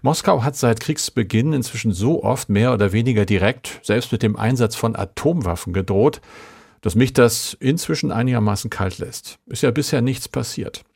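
A man's voice: medium pitch, moderate pace, creaky, darkish tone. A man hosting a podcast, reading scripted moderation. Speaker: podcast host.

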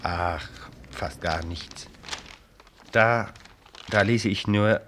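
A man's voice: on the low side, drawn-out words, a bit bright, a little hiss or hum. An actor playing a casual grown son out fishing with his father in a radio play, speaking in an offhand way. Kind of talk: German radio play acting.

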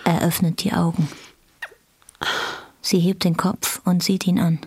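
A woman's voice: fairly low pitch, little pitch movement, unhurried, a little mellow, breathy edge, dry recording. A woman reading scripted narration for a German radio drama. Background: guitar music.

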